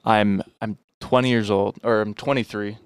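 A man's voice: on the low side, fairly even intonation, moderate pace, clean tone, no room sound, studio quality. A man in his early twenties on a casual podcast, asking curious questions about money with lots of restarts and fillers. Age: 20s. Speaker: podcast co-host. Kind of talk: casual conversational podcast talk.

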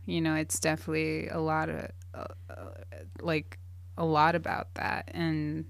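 Gravelly woman, relaxed chat. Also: low-pitched, normal speed, no echo. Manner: relaxed, reflective, many fillers. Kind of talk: casual conversation.

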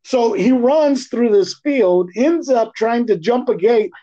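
A man co-hosting a podcast, chatting casually in profane slang with an animated, teasing, ranting manner. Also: very high voice, slow pace. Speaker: podcast co-host. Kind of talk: casual, slangy movie chat. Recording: dull, lo-fi podcast audio.

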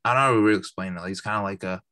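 A young man co-hosting a radio chat, talking haltingly and searching for words. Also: lowish voice, pushed and bright, dry recording, clean studio sound. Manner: halting, many fillers and restarts. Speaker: radio show co-host. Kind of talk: casual opinion talk.

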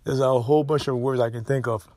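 A man's voice: mid-range voice, steady tone, quick pace, a little mellow, no room sound. A man hosting a solo podcast, opinionated and emphatic, often repeating himself. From Haiti. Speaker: podcast host.

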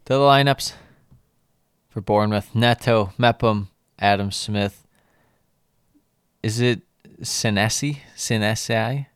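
A man's voice: lowish voice, slow pace, long pauses, clear, resonant tone, no room sound, clean studio sound. A man co-hosting a football podcast in casual chat, reading out lineups and joking about player names. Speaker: podcast co-host.

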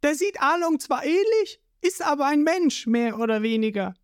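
A man's voice: very high-pitched, animated, moderate pace, dry recording, clean studio sound, voice pushed up. Man doing a firm, scolding young village woman.

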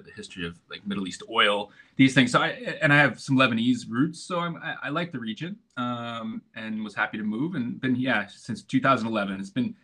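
A man's voice: mid-range voice, pushed and bright. A middle-aged American man with a laid-back manner and frequent chuckles, speaking as a guest in a casual conversation. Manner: laid-back, chuckles often, many restarts. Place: home in Istanbul.

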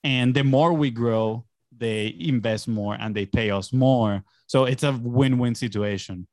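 A man's voice: medium pitch, unhurried, darkish tone, no room sound, clean studio sound. Upbeat young man from Venezuela. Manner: upbeat, loose storytelling with many fillers. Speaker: podcast guest, entrepreneur. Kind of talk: casual interview chat.

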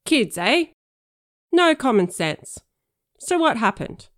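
A woman voicing a gruff, impatient male police officer with a gravelly, brusque, dismissive way of talking. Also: fairly high pitch, very animated, slow, a bit bright, no room sound, clean studio sound, pitched above the performer's own voice, heavily acted. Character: gruff impatient male cop, mocked up by a teen girl.

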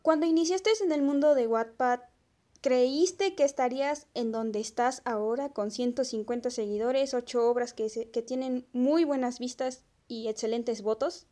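A woman's voice: fairly high pitch, moderate pace, dark tone. A woman hosting an interview, introducing and putting prepared questions to a guest. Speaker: interview host.

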